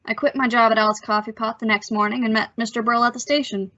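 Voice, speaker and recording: A woman acting as an earnest, bashful teenage boy in an old-time radio drama, halting and nervous. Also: on the high side, level tone, sharp tone, dull audio. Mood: wistful narration. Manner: halting, nervous stammer with sheepish delivery.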